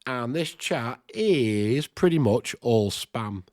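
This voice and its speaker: A man's voice: medium pitch, expressive, takes it slowly, a little mellow, no room sound, clean studio sound. A man with a stuffed-up, nasal voice from a cold, hosting a chatty game tutorial and thinking aloud. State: congested with a cold. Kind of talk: casual screen-recorded game tutorial.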